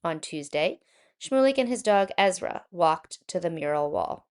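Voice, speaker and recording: A woman narrating a children's picture book aloud in an expressive, animated storytelling manner. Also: fairly low pitch, a little slow, smooth.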